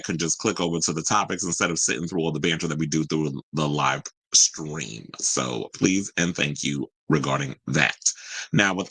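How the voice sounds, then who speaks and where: A man's voice: deep voice, sharp tone, dry recording, rough recording. A man hosting a live stream in a casual, chatty, gossipy way, rambling into asides and informal slang. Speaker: host of a celebrity news live stream. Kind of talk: casual chatty pop-culture commentary.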